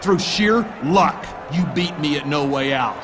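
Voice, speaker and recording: A man playing a pompous, scornful villain wrestler who hosts a talk show in a video game, speaking in emphatic, deliberate promo style. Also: a little high, crowd noise, background noise, natural voice. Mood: angry.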